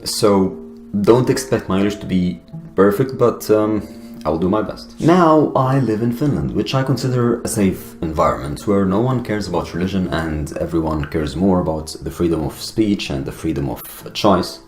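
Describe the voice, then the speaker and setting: Arabic-accented man, low voice, echoey room. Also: lively intonation, darkish tone. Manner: earnest, deliberate, with pauses and fillers. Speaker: YouTuber. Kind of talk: personal video monologue. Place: Finland. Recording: echoey room with guitar music behind.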